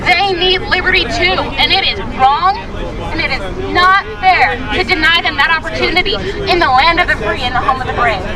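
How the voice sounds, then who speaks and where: Very high-pitched woman addressing a rally. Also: moderate pace. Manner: declamatory, emphatic, repeats phrases for effect. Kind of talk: impassioned public rally speech. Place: outdoors at a street rally. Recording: distant voice amid loud outdoor crowd, traffic.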